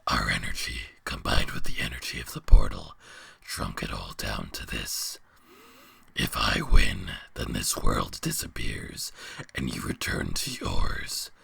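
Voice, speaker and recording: A man voicing a weary, sorrowful giant beast in a slow, grave, breathy growling whisper for a dramatic fantasy story reading. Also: very deep voice, sharp tone, no echo, voice pushed down, heavily acted. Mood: somber. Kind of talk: dramatic fan fiction reading.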